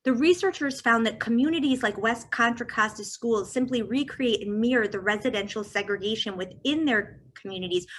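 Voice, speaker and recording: A woman's voice: fairly high pitch, a bit bright. Woman giving a slide presentation. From California, US. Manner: measured, reading figures off slides. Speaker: guest speaker.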